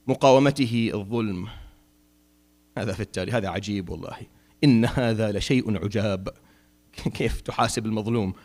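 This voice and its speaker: A middle-aged man from Syria, giving a Friday sermon as an imam, impassioned at times and dropping low and wry at others. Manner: impassioned, rising to emphatic peaks then dropping low and wry.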